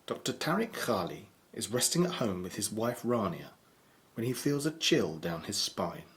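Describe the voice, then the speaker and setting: A man playing a composed, grave storyteller who narrates a horror audio drama in a measured way. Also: fairly low pitch, big pitch swings, noisy background.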